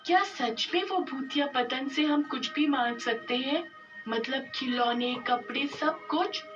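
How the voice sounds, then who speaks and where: A woman voicing an excitable little girl, childlike, wheedling and gushing, in an animated story. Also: high voice, a little slow, recorded from a distance, highs cut off. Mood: pleading, eager. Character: excitable little sister, pleading and delighted. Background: music.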